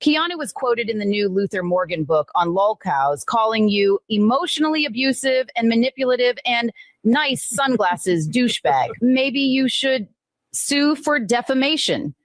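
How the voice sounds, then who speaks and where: A woman with a fairly high, lively voice taunts someone in a mocking tone. Her pitch swings up and down as she needles him. The sound is dry, with no room echo. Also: moderate pace. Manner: mocking, teasing tone.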